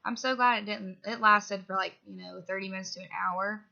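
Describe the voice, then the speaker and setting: A young woman with a bright, gravelly edge to her voice rambles through a casual vlog update, sniffling from a cold. She strings her thoughts together with fillers and little asides. The audio is dull and lo-fi, as if recorded at home on basic gear. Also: mid-range voice, steady tone, brisk. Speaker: vlogger. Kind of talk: casual update chat to camera.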